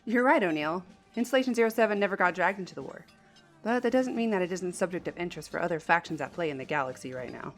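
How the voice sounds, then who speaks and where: Lady marine sarge woman acting, level headed, talks fast, audio drama w faint music. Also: lowish voice, expressive. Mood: cautioning. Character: seasoned female marine sergeant, level-headed and watchful.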